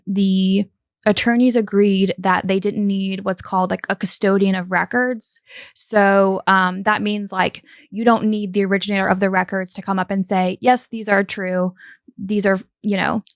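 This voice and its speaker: Appalachian woman co-host, gravelly voice, dry close sound, laid-back true-crime chat. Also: medium pitch, no room sound. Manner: relaxed, with many um fillers. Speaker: podcast co-host. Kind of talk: casual true-crime discussion.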